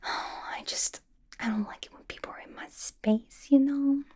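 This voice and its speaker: A woman voicing a playful, unhinged young villain inmate in a chummy, slangy ASMR roleplay, mostly in a breathy whisper. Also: high-pitched, steady tone, a little slow, a little mellow. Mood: reassuring, chummy.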